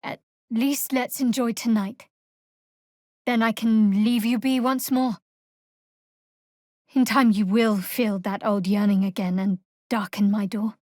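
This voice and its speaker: An actress playing a guarded, sardonic young female cleric of a dark goddess in a video game, speaking in a dry, measured, wry way. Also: on the high side, steady tone, no room sound. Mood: wistful. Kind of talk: video game character dialogue.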